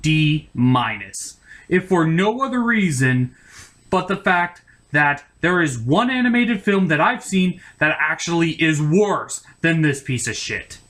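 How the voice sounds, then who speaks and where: A film reviewer performs a comedic puppet show review with a very lively, swinging pitch. The delivery is crude and mock-exasperated, tipping into rants. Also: unhurried.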